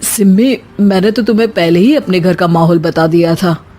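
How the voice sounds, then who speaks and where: A woman narrator voicing a mild, calm young husband in an animated story. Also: fairly low pitch, moderate pace, close to an everyday voice.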